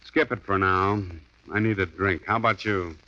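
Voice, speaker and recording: A wisecracking private eye, dry and laconic. His voice sits low but cuts bright and forceful. The sound has the dull, muffled quality of an old radio broadcast. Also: steady tone, normal speed. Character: wisecracking tough private detective. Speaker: lead detective. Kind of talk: 1950s radio drama acting.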